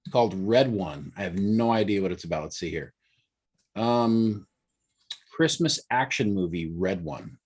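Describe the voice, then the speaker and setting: A man's voice: low voice, expressive, measured pace, a little mellow, slightly rough. Man in his forties, musing chatter. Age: 40s. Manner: musing, thinking aloud. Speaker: podcast co-host. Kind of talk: casual chat.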